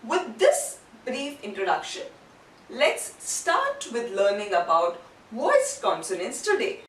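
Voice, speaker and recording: A woman teaching a language lesson step by step, speaking deliberately and repeating syllables and terms for learners. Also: on the high side, wildly swooping pitch. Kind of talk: instructional lesson, step-by-step explanation. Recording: roomy, distant mic, faint background noise.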